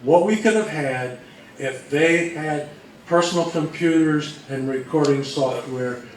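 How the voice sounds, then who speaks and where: Higher-voiced man presenting in an echoey room. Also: a little slow. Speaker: co-presenter at an old-time radio convention talk. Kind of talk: informal explanatory presentation. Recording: echoey, distant, faintly noisy live recording.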